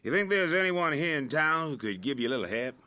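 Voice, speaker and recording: A friendly country sheriff type, crisp bright voice, kinda hemming and hawing, radio play. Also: male voice, a little high, lively intonation, fast, no echo. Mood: kindly, sly. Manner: hesitant, roundabout, neighborly. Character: kindly, folksy small-town lawman at the jailhouse. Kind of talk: old-time radio drama acting.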